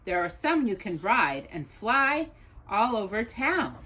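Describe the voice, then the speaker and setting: A woman reading rhyming children's poems aloud as a storytime host, in an expressive sing-song way, as if to a child. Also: medium pitch, slow, slightly rough.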